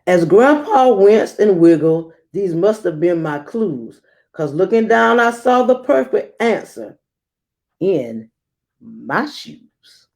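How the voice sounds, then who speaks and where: A woman reading a rhyming children's picture book aloud, acting it out with theatrical emphasis and dramatic pauses. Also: mid-range voice, big pitch swings, a little mellow. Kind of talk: expressive rhyming storybook read-aloud.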